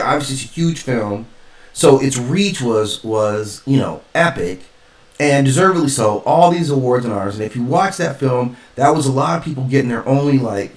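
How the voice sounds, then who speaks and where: A man giving casual spoken commentary as a stream host, rambling and emphatic as he thinks aloud. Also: medium pitch. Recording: roomy echo, distant from mic.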